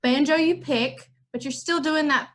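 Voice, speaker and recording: A bright, high-pitched young woman teaches a music lesson to kids. She talks in a cheerful, chatty way, as if speaking straight to her young students. She is from the United States. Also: normal speed. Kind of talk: online music lesson for children.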